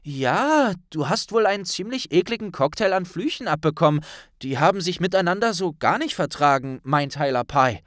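Guy putting on a higher voice for a gentle young witch in an audiobook, earnest, a bit hesitant. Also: expressive, moderate pace, no room sound, studio quality. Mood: explaining.

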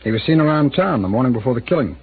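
An actor playing a frank, resigned town official in a 1950s radio drama. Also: male voice, mid-range voice, expressive, very fast, husky. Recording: tinny old radio transcription.

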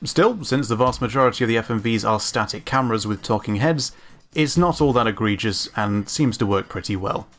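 A man giving scripted analytical commentary as a video narrator, in an informal, measured, opinionated way. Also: fairly low pitch, fairly bright tone. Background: background music.

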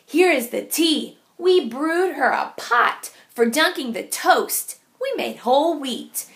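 Female children's story reader: high pitch, creaky edges, deliberate sing-song phrasing. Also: animated, a bit bright. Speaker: teacher reading aloud. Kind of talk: picture-book read-aloud for young children.

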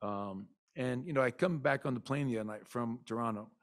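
A man's voice: medium pitch, fairly quick. Middle-aged man, basketball coach, press conference, rambling with fillers, candid, desk mic. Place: arena press room. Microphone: desk mic in front.

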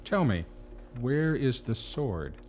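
A condescending institute doctor in an audio drama. His pitch swings expressively as he probes and questions, measured and patronizing throughout. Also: big pitch swings, a little mellow. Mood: probing. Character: patronizing, probing male asylum doctor.

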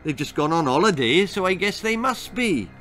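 A man's voice: sits high, big pitch swings, normal speed, a bit bright, soft background music, voice pushed up. A man voicing a cheeky, bored young boy in a children's story. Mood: innocent.

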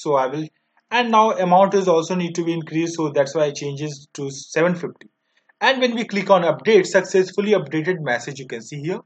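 A young man with an Indian accent walks through a software demo one step at a time. His voice is quite high for a man, and his delivery is halting and repetitive. The recording sounds dull and lo-fi. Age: 20s. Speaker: software tutorial presenter. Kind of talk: step-by-step screen demo narration.

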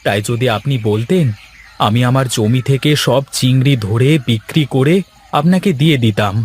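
A man's voice: medium pitch, moderate pace, a little hiss or hum, close to an everyday voice. A man voicing a humble, crafty village husband and farmer in a cartoon, with a pleading, deferential manner. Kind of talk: cartoon dialogue acting.

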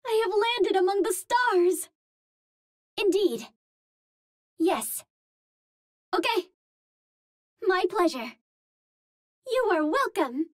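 A woman's voice: very high-pitched, lively intonation, drawn-out words, long pauses, dry recording, studio quality, full character voice. A woman voicing a cute, earnest young Martian heroine in a video game, with precise, formal phrasing. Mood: earnest, polite. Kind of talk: video game character voice lines.

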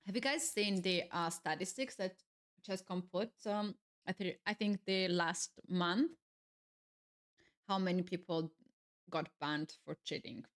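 A woman's voice: fairly low pitch, a little slow. A young woman with a Georgian accent, chatting casually to her stream viewers while playing chess, musing aloud with many fillers and restarts. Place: small home streaming room, indoors.

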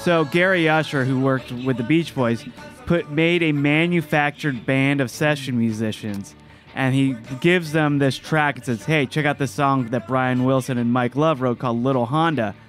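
A man's voice: mid-range voice, normal speed, faint music behind. A man co-hosting a podcast, explaining trivia in a casual conversational way. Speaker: podcast co-host. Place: podcast studio, indoors. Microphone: studio microphone on arm with headset.

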